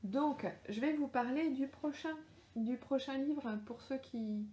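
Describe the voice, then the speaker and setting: A woman's voice: sits high, takes it slowly, dark tone, roomy sound. Middle-aged French woman, hesitant chat. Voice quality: hesitant, many fillers and restarts. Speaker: author answering readers live. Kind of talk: casual live Q&A chat. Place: home, lives in Australia.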